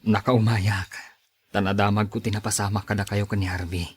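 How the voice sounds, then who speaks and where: A man's voice: lowish voice, level tone, normal speed, a little hiss or hum, natural voice. A man playing a concerned, disapproving friend in an Ilocano audio drama. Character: concerned male friend, disapproving of a cheating husband.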